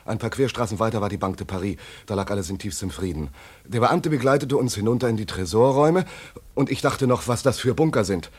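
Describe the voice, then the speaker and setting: An actor playing a harried wartime German inspector in a radio play, flustered and brusque. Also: male voice, on the low side, expressive, fast talker, husky. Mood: weary, recollecting.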